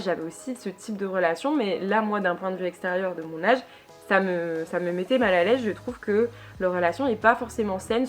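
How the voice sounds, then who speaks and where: Chatty young woman reviewing a book. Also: mid-range voice. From Lille, northern France. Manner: chatty, many fillers and restarts. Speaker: book vlogger. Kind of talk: casual book review monologue.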